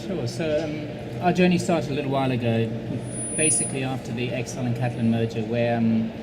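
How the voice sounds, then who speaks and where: A man answering questions on a conference panel, speaking in a measured, hesitant way with many fillers and repeated words. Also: mid-range voice, background noise. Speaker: panelist, insurance IT leader.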